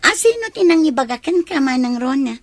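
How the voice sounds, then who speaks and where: A woman's voice: high-pitched, very animated, fairly quick, faint music behind. An actress with an Ilocano Filipino accent playing a jealous, haughty, gossipy young village woman in a radio drama. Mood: indignant.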